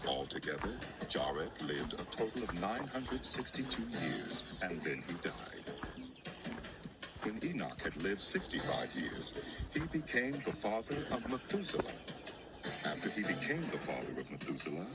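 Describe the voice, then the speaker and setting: A male narrator reads scripture as solemn drama. His voice is low and moves expressively through the measured storytelling, with prominent music underneath. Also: big pitch swings.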